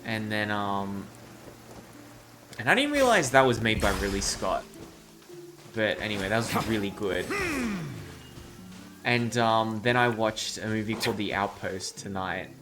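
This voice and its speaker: A male game streamer with a lowish voice chats casually on a live stream. He is blunt, informal and sweary, and game music plays clearly behind him. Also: expressive, measured pace, pauses often. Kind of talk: casual live reaction commentary and chat.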